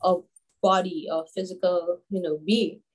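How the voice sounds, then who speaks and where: A woman in her twenties from Grenada, a guest telling stories in a casual interview, chatty and animated with many fillers. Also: fairly low pitch, unhurried. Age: 20s. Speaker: guest, children's author.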